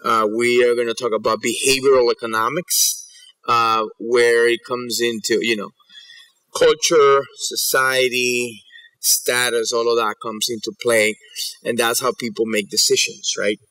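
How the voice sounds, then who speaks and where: A man in his forties from New York and Ecuador giving a conference presentation in an informal, halting way, often repeating words. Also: medium pitch, level tone, pushed and bright, low-quality recording. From South Bronx, New York and Ecuador. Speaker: keynote speaker. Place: auditorium stage at a podium.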